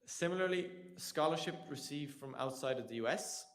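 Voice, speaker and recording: A man's voice: a little high, normal speed. A young man with an Irish accent, presenting a tax information session to a room of students in a conversational, joking way. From Ireland. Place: lecture room with an audience.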